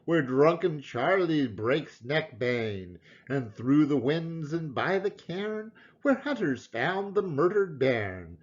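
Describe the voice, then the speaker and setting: Animated man reciting narrative verse, unhurried. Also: fairly high pitch, dull audio. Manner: theatrical, expressive storytelling. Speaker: poetry reader. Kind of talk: dramatic recitation of a narrative poem in Scots.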